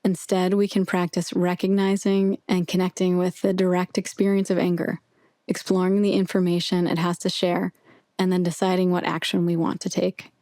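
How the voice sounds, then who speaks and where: Woman, guided meditation, gentle measured delivery, slightly low pitch, very dry close sound. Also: monotone, no echo.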